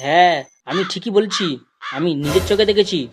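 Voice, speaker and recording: A man's voice: high-pitched, a little fast, crowd noise, close to an everyday voice. A man playing an aggrieved, crude village husband in a comedy cartoon, complaining in everyday words. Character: aggrieved, foul-mouthed village husband and son-in-law. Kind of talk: Bengali comedy cartoon dialogue. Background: background music.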